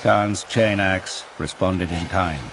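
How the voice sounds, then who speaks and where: A man's voice: low voice, steady tone, slow. A man narrating an audio drama in a dramatic, scripted storytelling style. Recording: clear background noise and ambience.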